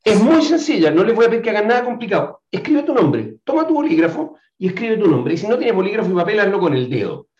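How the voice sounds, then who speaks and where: A man's voice: high voice, very animated, fast talker, hardly any pauses, darkish tone. A man hosting a casual interview, playful and joking, who turns to the audience directly. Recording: echoey, dull lo-fi room sound.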